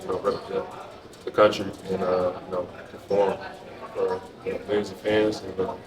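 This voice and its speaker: Deep monotone young man, quiet. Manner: quiet, halting, many fillers. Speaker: national team basketball player. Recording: roomy, crowd noise, distant mic.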